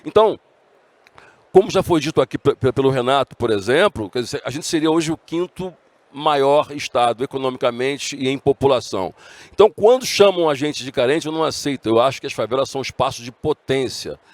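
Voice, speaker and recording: A man's voice: mid-range voice, lively intonation, brisk, no room sound. A middle-aged man with a Brazilian Portuguese accent giving a conference talk, earnest and often restarting his words. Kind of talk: conference speech. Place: conference stage.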